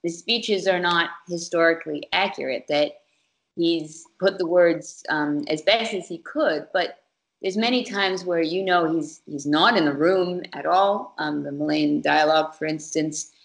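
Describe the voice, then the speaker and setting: A woman interviewer asking long, halting questions with repeated words. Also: lowish voice, vocal fry.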